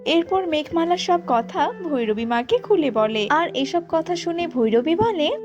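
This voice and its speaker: A woman's voice: sits high, lively intonation, unhurried, a little mellow, lo-fi sound, soft background music. A woman narrating a scripted children's fairy tale as storyteller.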